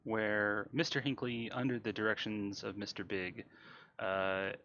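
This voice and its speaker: A man giving live, explanatory commentary on a video game as he plays, often pausing with uhs. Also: lowish voice, level tone, pushed and bright, dull audio, slight background noise. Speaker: speedrunner playing and commentating. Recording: game music and vehicle sounds behind. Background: video game music and car engine sounds.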